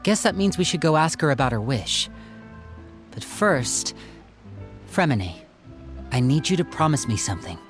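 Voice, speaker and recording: A man's voice: fairly high pitch, sing-song, moderate pace, long pauses, soft background music. A man playing an earnest, protective young older brother in a dramatic game scene, articulate and courteous. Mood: businesslike, earnest.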